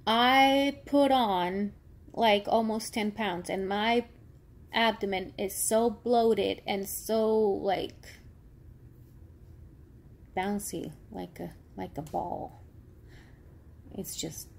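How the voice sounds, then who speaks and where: Slow, candid woman in her thirties. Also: mid-range voice, creaky, a little hiss or hum. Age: 30s. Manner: candid, rambling, frequent fillers. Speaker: vlogger; healthcare worker. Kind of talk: personal health vlog.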